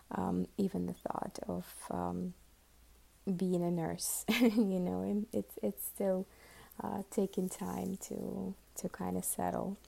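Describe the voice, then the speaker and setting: Very gravelly, dark-voiced woman. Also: medium pitch, poor audio. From Uzbekistan. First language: Russian. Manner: soft and half-whispered, many ums and restarts. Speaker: nurse introducing herself to classmates. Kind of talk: unscripted self-introduction.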